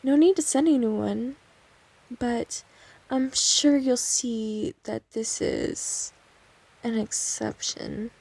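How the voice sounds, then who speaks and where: A woman playing an obsessive female vampire lab technician in an ASMR roleplay, coy, softly teasing and eerily polite as she explains herself to the listener. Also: a little high, slow pace, vocal fry, exaggerated voice. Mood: coy, reassuring.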